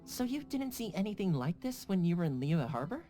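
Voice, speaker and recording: A man's voice: sits high, big pitch swings, a little fast, mellow, soft background music. A man playing a playful, easygoing young man in a video game, teasing and breezy.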